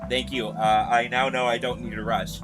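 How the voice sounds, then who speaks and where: A streamer giving casual live reaction commentary in an excitable, sweary, joking manner. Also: medium pitch, normal speed, over music, poor audio.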